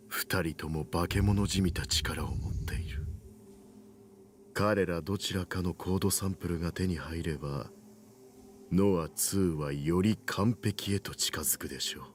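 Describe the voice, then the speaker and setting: Erkek oyuncudan çok kalın sesli, kibar bir kötü adam. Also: male voice, sits low, slight background noise, natural voice. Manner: formal, polite, deliberate speech. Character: suave, menacing male villain, coldly polite and gloating. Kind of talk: Japanese visual novel voice acting.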